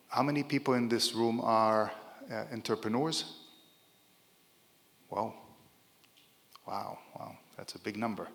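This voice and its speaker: A man's voice: mid-range voice, fairly bright tone. A middle-aged man with an Israeli accent gives an informal, joking conference speech with many fillers to a large room. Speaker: keynote speaker. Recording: reverberant event hall.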